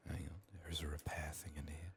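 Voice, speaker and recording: A man doing soft-spoken, often whispered ASMR game commentary, muttering to himself with a voice strained by illness. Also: very deep voice, little pitch movement, fast talker, creaky, slight background noise. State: sick, resting a strained voice. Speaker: video game streamer.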